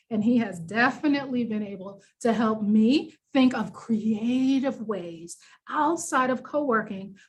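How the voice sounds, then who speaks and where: A woman's voice: a little high, breathy edge. A woman with a New York accent presenting a workshop in a conversational, encouraging, explanatory way, leaning on key words for emphasis. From New York, United States. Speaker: workshop presenter, business coach.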